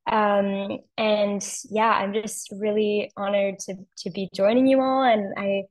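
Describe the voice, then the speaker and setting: A woman's voice: medium pitch, little pitch movement, measured pace, low-quality recording. A young woman speaking casually and chattily as a student panelist on a video call. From Toronto, Canada. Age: young adult.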